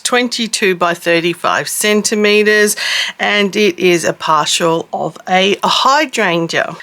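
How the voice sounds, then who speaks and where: A middle-aged woman hosting a casual craft unboxing, her voice rough and husky from a cough, chatty and self-deprecating. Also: mid-range voice, fairly bright tone. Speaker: cross-stitch vlogger host.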